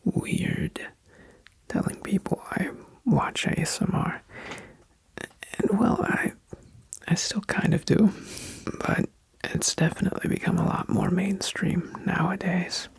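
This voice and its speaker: A young adult new ASMR creator chatting in a soft, unhurried whisper with frequent fillers. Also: medium pitch, steady tone, vocal fry, husky, no room sound.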